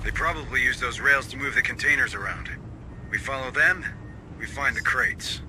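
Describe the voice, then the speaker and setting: An actor playing a gruff, middle-aged Marine squad sergeant in a video game, giving clipped orders. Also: male voice, medium pitch, moderate pace, noisy background, natural voice. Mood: firm command.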